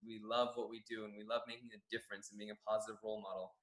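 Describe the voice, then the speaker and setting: A man's voice: fairly low pitch, level tone, racing, fairly bright tone, roomy sound. A young Canadian man whose first language is Farsi, a gym general manager answering interview questions in an earnest, reflective way with many restarts. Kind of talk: staff profile interview answers.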